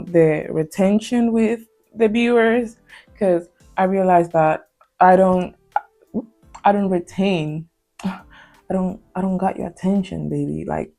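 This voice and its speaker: Young woman, Spanish native, Spanish accent; mellow, creaky low voice; rambling confessional vlogger with faint music underneath. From Spain. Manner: hesitant, heavy on fillers and restarts. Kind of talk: confessional casual vlog talk.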